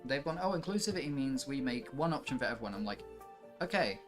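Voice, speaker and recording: A man's voice: medium pitch, quick pace. A man talking casually but emphatically, swearing as he argues his opinions in a conversation. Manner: emphatic, sweary, animated when arguing. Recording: music playing behind the voice. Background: music.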